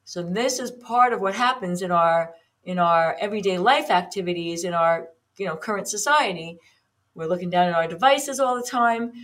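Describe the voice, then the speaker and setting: A woman's voice: on the low side, normal speed, fairly bright tone. Chatty woman in her fifties hosting. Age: 50s. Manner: chatty, explanatory, with frequent ums and restarts. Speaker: podcast host and personal trainer. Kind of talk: casual solo podcast talk.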